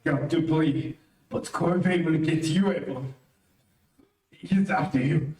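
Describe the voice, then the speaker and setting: An actor playing a bitter, envious dying villain in an old-time radio melodrama. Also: male voice, a little high, unhurried, a little mellow, close to an everyday voice. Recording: very echoey room, distant mic.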